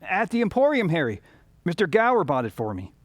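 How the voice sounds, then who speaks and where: Radio drama lead: actor as restless small-town young man, boyish and earnest, higher-pitched, very lively delivery. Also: dry recording, heavily acted. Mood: excited, dreamy. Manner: earnest, boyish, halting when flustered.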